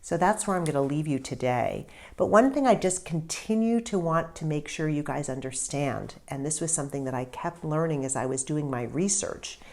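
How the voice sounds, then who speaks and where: A woman with a fairly low voice reads aloud as an author sharing a story. Her narration is expressive and dramatic. She phrases things deliberately and leans on key words with emphasis. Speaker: author reading own book aloud.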